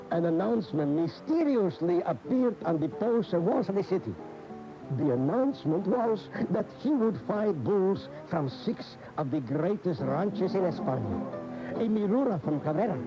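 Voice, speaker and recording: An actor playing an ardent, rueful bullfight fan telling his tale floridly and hesitantly in a radio drama. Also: high-pitched. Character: ardent, rueful male bullfight aficionado and loyal friend. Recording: dull AM radio tape, loud music.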